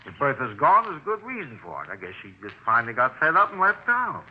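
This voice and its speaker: An actor playing a surly, defensive landlord in a 1950s radio drama, gruff and evasive, with folksy ungrammatical speech. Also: male voice, mid-range voice, huge pitch swings, fast. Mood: suspicious, impatient. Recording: dull noisy 1950s radio recording.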